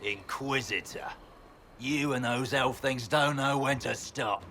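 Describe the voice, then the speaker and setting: An actor playing a gruff, bitter male military commander with a mocking manner. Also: medium pitch, takes it slowly, pushed and bright, slight background noise.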